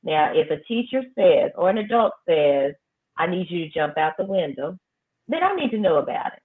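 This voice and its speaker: A woman high school principal, talking firmly and emphatically in a casual interview. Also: very animated, moderate pace. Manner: emphatic, firm, repeats phrases for effect. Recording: tinny phone-like line.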